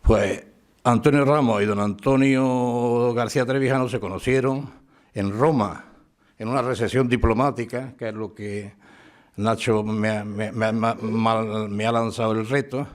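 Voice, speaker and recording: A man giving a lively, informal talk at a town tribute, acting out dialogue as he tells an anecdote. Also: medium pitch, measured pace. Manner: chatty storyteller, acts out dialogue. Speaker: speaker giving biographical sketch. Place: town hall event room.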